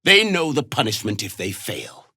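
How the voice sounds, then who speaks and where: An actor playing a harsh, imperious alien warrior instructor in a video game, scornful and given to clipped commands. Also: male voice, mid-range voice, big pitch swings, normal speed, gravelly, bright, forceful tone, slightly rough, no echo, studio quality, heavily acted. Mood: cold, menacing. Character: harsh, contemptuous alien warrior instructor drilling young recruits. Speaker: combat trainer. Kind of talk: video game character acting.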